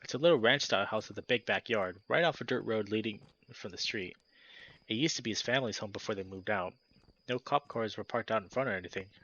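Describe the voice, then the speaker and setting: A man's voice: fairly low pitch, quick pace, dull audio. Man reading a story game aloud. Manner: animated, jokey asides between steady narration. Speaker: let's play host. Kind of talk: reading a visual novel aloud with commentary.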